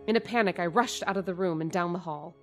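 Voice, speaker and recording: A woman's voice: fairly low pitch, fast, soft background music, lightly acted. An actress playing a frightened middle-aged landlady and mother who narrates her suspicions and stammers when flustered, in a radio drama. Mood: tense. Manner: nervous, stammering.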